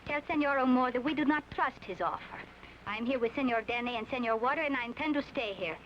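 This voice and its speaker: A woman's voice: sits high, quick pace, lightly acted. An actress playing a young woman on the run with outlaws, who speaks in an earnest, firm way in an old radio drama. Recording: muffled old radio broadcast with hiss.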